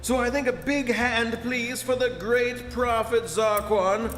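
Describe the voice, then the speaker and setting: A man's voice: very high-pitched, steady tone, a bit bright, full character voice. An actor playing a smarmy master of ceremonies in a live stage radio play, grandiose and unctuous, drawing out his phrases. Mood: gushing insincerity. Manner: grandiose, unctuous, drawn-out showmanship. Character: smarmy male showman compere at the end of the universe. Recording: echoey hall, live stage with music.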